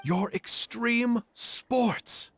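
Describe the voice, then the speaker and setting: A man's voice: very high-pitched, extremely slow, a bit bright, breathy edge, full character voice. A man voicing a boastful, theatrical uncle who tells dramatic stories in a video game. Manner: hammy, dramatic storytelling. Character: boastful, theatrical adult male storyteller uncle.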